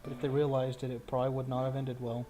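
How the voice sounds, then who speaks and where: A man's voice: mid-range voice, little pitch movement, fast. A man talking casually as the game master of a tabletop roleplaying session. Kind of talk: casual chat. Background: background guitar music.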